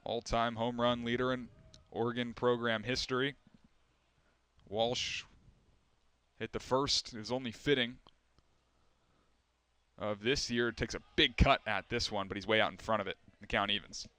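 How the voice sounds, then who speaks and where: Young male play-by-play announcer, smooth clear voice, measured delivery. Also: mid-range voice, fairly even intonation, sharp tone, no room sound. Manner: measured, rising for big plays. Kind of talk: baseball play-by-play commentary.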